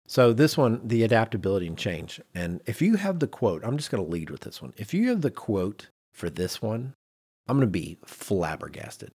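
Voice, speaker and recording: A man's voice: on the low side, very animated, moderate pace, a little mellow, slightly rough. A middle-aged man hosting a podcast in casual discussion, acting out little imagined quotes as he explains. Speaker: podcast host.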